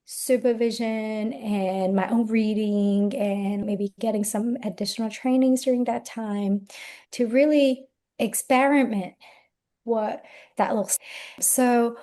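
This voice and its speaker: Woman, fairly high voice, mellow tone, earnest and reflective, podcast guest. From Hong Kong. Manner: earnest, reflective, with frequent restarts. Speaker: guest play therapy expert. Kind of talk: podcast interview answers.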